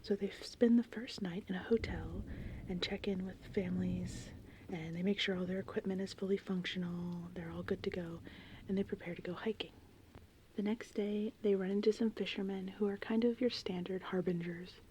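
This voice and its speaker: A woman in her thirties whispering softly and breathily in an informal, rambling ASMR style. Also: mid-range voice, normal speed, a little hiss or hum. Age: 30s. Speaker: ASMR channel host. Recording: steady rain and thunderstorm ambience behind. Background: gentle rain and thunder.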